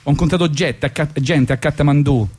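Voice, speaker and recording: A man's voice: fairly high pitch, normal speed, darkish tone, breathy edge. A man with a Roman accent performs a wry spoken monologue in a colloquial register. He leans on repetition for emphasis, like a storyteller hosting on local radio. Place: radio studio.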